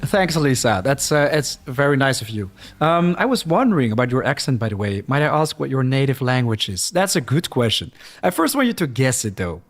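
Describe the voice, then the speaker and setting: A man's voice: medium pitch, sing-song, brisk, few pauses. A man from the Netherlands with a Dutch-Flemish accent, chatting casually as he streams a game live, reacting to the action and reading viewer comments aloud.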